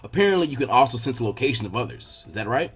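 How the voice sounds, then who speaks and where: A man voicing a calm, encouraging adult ninja teacher in a story reading, measured and reassuring. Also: mid-range voice, slightly rough, soft background music, voice pushed down. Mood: calm, appraising. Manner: measured, reassuring, laid-back. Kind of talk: fan fiction character dialogue.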